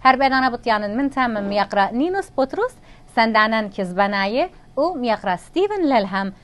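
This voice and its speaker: A middle-aged woman hosts an interview, her voice sitting a little higher than usual. She asks long, flowing questions, recorded on a lapel mic in a small indoor lounge. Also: big pitch swings, a little fast, fairly bright tone. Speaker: interview host. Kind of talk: hosted interview.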